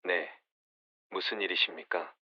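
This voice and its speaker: A man voicing a calm, formal emergency dispatcher heard over the phone in an audio drama. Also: low voice, measured pace, no room sound. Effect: phone line. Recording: dull phone-line sound.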